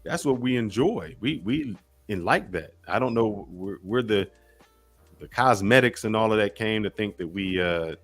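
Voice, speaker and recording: A man's voice: lowish voice, expressive, normal speed, faint music behind. A man hosting a live call-in chat, playful, teasing and blunt with his callers. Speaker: host of a call-in show.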